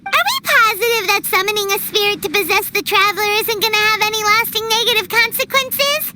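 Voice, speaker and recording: A woman voicing a small, curious fairy-like girl companion in a game dub, talking excitably. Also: very high voice, brisk, very noisy. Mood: puzzled.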